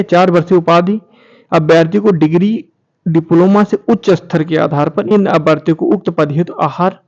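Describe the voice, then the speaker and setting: A man with a fairly high-pitched voice presents an informal explainer for his online viewers. He reads formal text aloud, then comments on it directly, repeating key phrases to drive them home. The sound is somewhat dull and lo-fi. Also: darkish tone. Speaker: YouTube channel host presenting documents.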